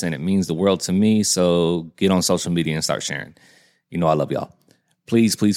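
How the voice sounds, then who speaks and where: A man, hosting a podcast in a laid-back, joking way. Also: low-pitched. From Alabama, United States. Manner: jokey, laid-back, says y'all. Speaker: podcast host. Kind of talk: casual chat.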